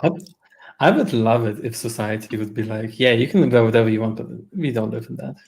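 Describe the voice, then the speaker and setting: Man, from Russia, gravelly fry, hesitant trailing speech, video call. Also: medium pitch, a little fast, a little mellow. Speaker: video chat stranger. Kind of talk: casual video chat.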